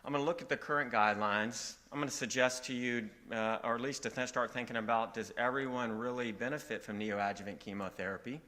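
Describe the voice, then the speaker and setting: A man from Virginia giving a medical conference talk in a relaxed, folksy way with frequent fillers. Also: medium pitch, fairly quick, hardly any pauses. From Virginia, southeastern United States. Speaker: conference speaker.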